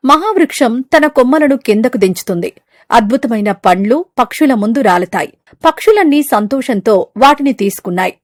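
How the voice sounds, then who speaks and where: A woman's voice: on the high side, expressive, moderate pace, no room sound, clean studio sound. A woman narrating a scripted moral story for children as the storyteller.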